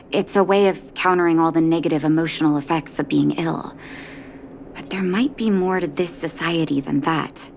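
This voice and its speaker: An actress voicing a composed, caring and quietly firm woman doctor in a video game, measured and polite. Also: lowish voice, a little hiss or hum. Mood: thoughtful. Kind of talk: video game character acting.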